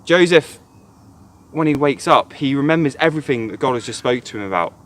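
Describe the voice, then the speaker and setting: A man's voice: medium pitch, animated, brisk. A man, a church youth worker, gives an earnest, reflective devotional talk retelling a Bible story.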